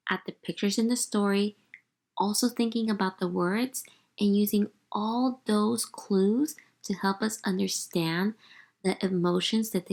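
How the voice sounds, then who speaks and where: A woman speaks as a teacher to young children during a read-aloud. Her voice sits at a medium pitch. She is expressive, pausing the story to explain and to put questions straight to her listeners. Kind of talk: interactive picture-book read-aloud with commentary.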